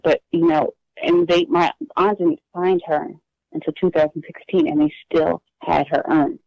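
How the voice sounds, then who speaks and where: A woman guest telling her adoption story in a personal interview, hesitant and halting, with frequent restarts. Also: fairly low pitch, big pitch swings, creaky, darkish tone, dry recording. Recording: dull lo-fi dry recording.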